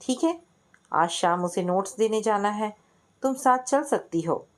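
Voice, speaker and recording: A woman's voice: medium pitch, lively intonation, a little slow, natural voice. Young male student, read by a woman: blunt, firm, loyal friend, matter-of-fact delivery in a family story. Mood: earnest.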